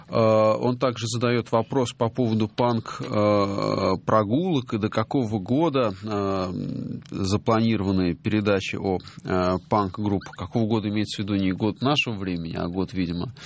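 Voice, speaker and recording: A middle-aged man hosting a music radio show, talking casually and hesitantly, with many drawn-out filler pauses. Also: fairly low pitch, a little hiss or hum. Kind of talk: casual radio talk reading listener messages.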